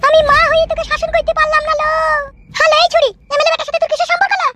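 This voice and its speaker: A performer voicing a scolding, exasperated village mother in a Bengali comedy cartoon, with a rural West Bengal accent and a pitch-shifted voice. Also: little pitch movement, rapid-fire. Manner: nagging, shrill scolding in village dialect. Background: loud music.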